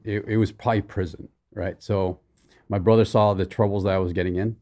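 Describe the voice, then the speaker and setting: Male podcast guest, middle-aged, from Hawaii, lowish voice, relaxed conversational storyteller. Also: brisk. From Hawaii, born in the Philippines. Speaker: podcast guest, military veteran. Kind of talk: casual personal storytelling. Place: small indoor podcast studio. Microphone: studio mic on boom arm.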